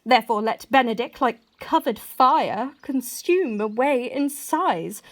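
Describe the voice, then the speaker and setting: Young noblewoman bride-to-be, very high voice, swoopy and mischievous, shakespeare radio play. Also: expressive, a little slow. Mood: mock scornful. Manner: theatrical, emphatic, mischievous. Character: lively scheming young gentlewoman about to be married. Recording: recorded separately at home.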